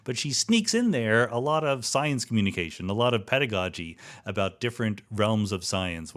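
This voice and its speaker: A man with a fairly low voice speaks as a podcast host. He explains ideas in a conversational way, dropping in rhetorical asides as he goes. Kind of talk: conversational monologue and interview.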